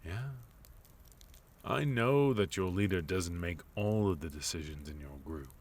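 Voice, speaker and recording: A man playing a sophisticated, composed villain in an intimate ASMR roleplay, speaking in measured, courteous phrases with soft menace and deliberate pauses. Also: low voice. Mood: courteous, probing. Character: sophisticated, composed male villain, leader of enemy organization.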